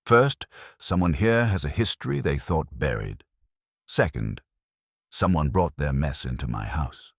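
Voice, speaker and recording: A man's voice: very deep voice, sing-song, unhurried, creaky, dry recording, clean studio sound, exaggerated voice. A man voicing a stern, pompous master detective in an audiobook, formal, weighty and accusing.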